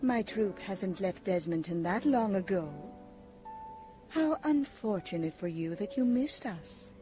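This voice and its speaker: A woman's voice: mid-range voice, expressive, a little slow, over music, lightly acted. A woman playing a vain, haughty stage actress in an audio drama, grand and condescending in manner.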